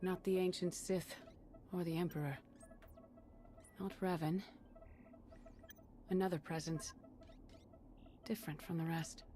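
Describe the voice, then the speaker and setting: An actress playing a calm, perceptive woman Sith agent who speaks in a measured way. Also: fairly low pitch, faint music behind, close to an everyday voice. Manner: calm, measured, quietly urgent.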